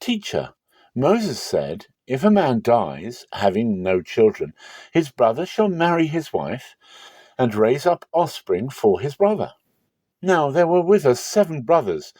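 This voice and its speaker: Man voicing a high, lilting, sly questioner. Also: big pitch swings, a little slow, warm tone, dry recording. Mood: probing, needling. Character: smooth-talking questioners slyly testing a teacher.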